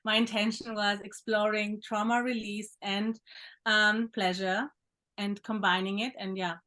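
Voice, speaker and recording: Woman host, mid-pitched and reflective, soft-spoken. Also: level tone, measured pace. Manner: reflective, gentle, with occasional soft laughs. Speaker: podcast host, sexological bodyworker. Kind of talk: conversational interview.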